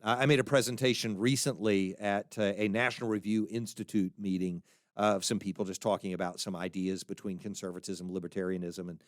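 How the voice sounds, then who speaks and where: A man's voice: fairly low pitch, steady tone, a little fast, no room sound, clean studio sound. A man with an American accent hosting a podcast, talking through ideas casually and restarting his sentences as he thinks aloud. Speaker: podcast host. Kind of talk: casual spoken monologue on faith and culture.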